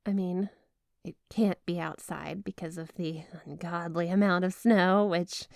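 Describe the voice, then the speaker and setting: A woman's voice: fairly low pitch, steady tone, a little slow, gravelly, a little mellow, no echo, studio quality. A woman playing a flirty, obsessive yandere wife in an ASMR roleplay, gushing and giggly with a coyly menacing sweetness. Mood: affectionate, coy. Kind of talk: ASMR roleplay addressed to the listener.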